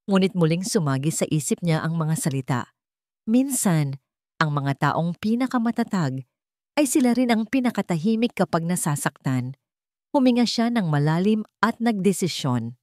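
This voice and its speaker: A woman with a fairly low voice narrates a scripted drama. The recording is dry and close, with little room sound. She reads as a storyteller. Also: lively intonation, normal speed, darkish tone, no echo.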